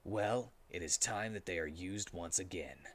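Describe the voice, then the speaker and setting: A man's voice: sits low, measured pace, slight background noise, pitched below the performer's own voice. A man voicing a brooding, solemn cartoon hedgehog making grave, dramatic speeches.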